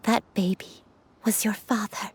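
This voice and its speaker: A woman voicing a frail, tender old grandmother in a game, halting and sorrowful, tormented by failing memory. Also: mid-range voice, steady tone, a little mellow, husky. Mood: pained, emotional. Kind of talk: dramatic game voice acting.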